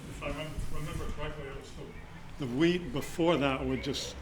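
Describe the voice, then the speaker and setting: A man's voice: on the high side, fairly even intonation, quick pace, background noise. A man in the audience telling a meandering, hesitant story, full of fillers. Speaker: audience member. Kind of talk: casual question from the audience. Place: community room.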